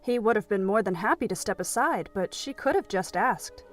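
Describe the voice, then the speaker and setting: A woman with a mid-pitched voice reads scripted narration for an audio drama. She tells the story as narrator, with soft music underneath. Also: animated, moderate pace.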